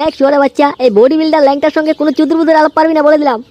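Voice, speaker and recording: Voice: high voice, level tone, racing, warm tone, close to an everyday voice. A performer voicing a hot-headed young village tough in a crude comic cartoon, ranting and swearing aggressively, on a noisy track. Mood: angry, ranting.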